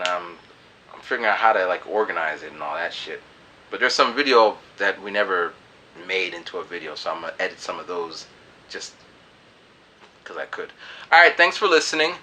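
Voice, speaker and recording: A man in his twenties telling a loose, animated story to camera in a small room. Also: sits low, brisk, a little hiss or hum. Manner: animated, loose storyteller who acts out voices. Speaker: vlogger. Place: small hot room indoors.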